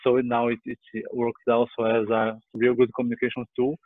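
A man's voice: mid-range voice, completely flat delivery, moderate pace, dry recording. Brazilian-accented man on a thin, dry line. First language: Portuguese. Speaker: sketching group organiser. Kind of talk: informal report on a group video call. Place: room at home. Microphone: headset on a video call. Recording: dry, thin phone-like call audio.

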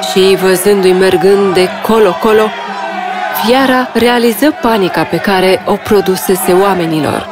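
A woman narrates a fairy tale in a scripted storytelling style. Music plays clearly behind her mid-pitched voice. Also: a loud crowd.

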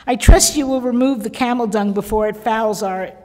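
A woman reading aloud gives voice to a proud young wife of the desert, calm and clipped, never raising her voice in defiance. Her words ring out with a long echo through a large hall. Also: a little high, moderate pace, lightly acted. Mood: cool.

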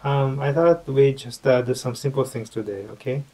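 Soft-spoken man coaching a puppet lesson. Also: medium pitch. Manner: patient, halting, many fillers. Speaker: English tutor. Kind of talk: casual role-play lesson.

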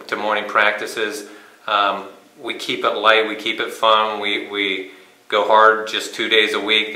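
A man in his fifties, a sports coach giving an informal interview, speaking haltingly with pauses mid-sentence. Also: on the low side, bright, forceful tone. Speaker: high school cross country coach. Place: small bare indoor room. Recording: echoey room.

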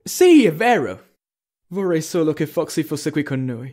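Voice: medium pitch, big pitch swings, measured pace, dark tone. An actor voicing a self-assured, earnest animatronic bear leader in a parody cartoon, with a declamatory manner. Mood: proud.

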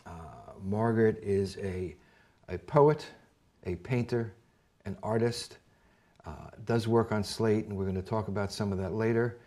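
An older man hosts a studio interview, his voice sitting somewhat low. He asks his questions in a halting way, pausing and restarting as he searches for words. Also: warm tone. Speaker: host. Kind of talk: community TV interview. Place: dark television studio.

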